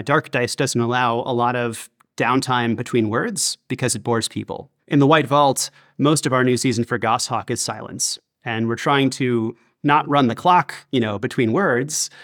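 A man's voice: mid-range voice, fairly bright tone, dry recording, clean studio sound. A man talking casually as a podcast guest about producing audio drama, in an interview style.

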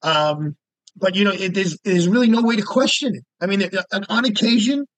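A man's voice: sits high, brisk, fairly bright tone, dry recording, poor audio. A man, a lawyer guest talking casually and emphatically, often repeating words as he makes his point. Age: 50s. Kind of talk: casual podcast interview.

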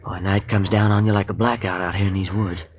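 An actor playing a folksy, excitable young male sidekick in a 1940s radio drama, old broadcast recording. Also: sits low, fairly quick, slightly rough. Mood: eager.

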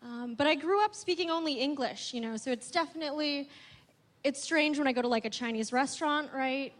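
High-voiced woman doing stand-up. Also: fairly quick. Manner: bubbly, self-mocking, giggles. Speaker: stand-up comedian. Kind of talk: stand-up routine.

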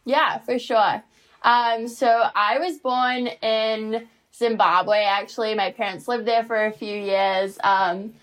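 A woman's voice: mid-range voice, poor audio. A young woman, answering interview questions casually with many fillers. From New Zealand. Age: 20s. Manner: relaxed, many fillers and likes. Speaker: college tennis player guest.

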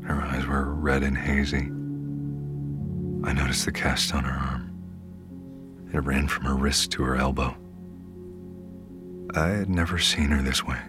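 A man acting as a grave, measured first-person narrator in an audio drama, playing a wounded man telling his story. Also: very deep voice, flat delivery, over music. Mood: somber.

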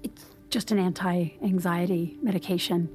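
A woman's voice: lowish voice, fairly even intonation, a little slow, darkish tone, soft background music, close to an everyday voice. An actress playing an anxious, flustered professional woman in an audio drama, hesitant and apologetic. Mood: flustered, evasive. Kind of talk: naturalistic audio drama acting.

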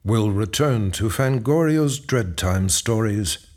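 A man's voice: fairly low pitch, lively intonation, takes it slowly, darkish tone. A man reading scripted radio credits as an announcer. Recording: music under the voice.

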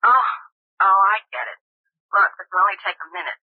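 Tough bossy gun moll, husky, curt, scornful, old radio crime play, muffled recording. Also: female voice, medium pitch, big pitch swings, a little fast, no echo. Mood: scheming, impatient. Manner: curt, commanding, slangy. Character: hard-boiled, bossy, impatient female crook, scornful sister. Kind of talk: old-time radio drama acting. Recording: dull, band-limited old radio recording.

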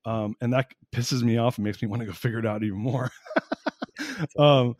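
A man in his forties hosting a podcast interview, talking in an excitable, casual way with frequent swearing and laughter. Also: mid-range voice, normal speed, dry recording, studio quality. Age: 40s. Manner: excitable, profane, laughs often, animated. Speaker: podcast host.

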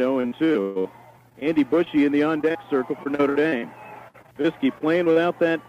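A man calling a baseball game play by play in live commentary style, on an old broadcast recording. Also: fairly high pitch. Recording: noisy lo-fi archive game broadcast. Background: ballpark ambience.

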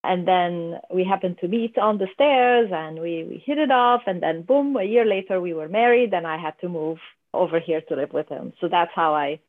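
A woman's voice: lowish voice, normal speed. A middle-aged woman from Lebanon who directs a university IT team. She is a podcast guest and explains things earnestly, step by step. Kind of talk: conversational interview answers. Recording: tinny phone-like line.

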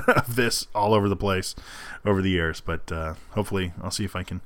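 A man chatting casually and haltingly as a host introducing a reading. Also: deep voice, a bit bright, no echo. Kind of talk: casual chat.

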